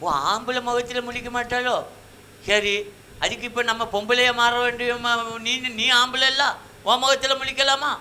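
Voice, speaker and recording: A man's voice: very high-pitched, flat delivery, moderate pace, pushed and bright, slight background noise, heavily acted. A man voicing a nosy, teasing village man in comic Tamil puppet banter, talking colloquially in rural dialect.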